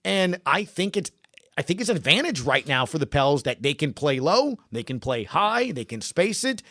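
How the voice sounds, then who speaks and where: A man's voice: a little high, sing-song, moderate pace, bright, forceful tone, no room sound, clean studio sound. A man hosting a sports podcast, talking through stats and games in an emphatic, punchy way with rhetorical questions. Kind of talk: animated sports talk, recapping stats.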